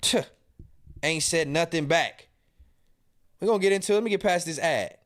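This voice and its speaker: Male podcast host in his thirties, high voice, heated and taunting reaction commentary. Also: moderate pace. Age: 30s. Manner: profane, mocking, confrontational.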